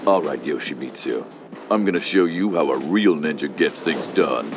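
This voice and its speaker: A man's voice: a bass voice, lively intonation, normal speed, over music. An actor playing a cocky, short-tempered male ninja agent in a dubbed video game, bossy and emphatic. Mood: smug, controlled. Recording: clear background sound effects and ambience.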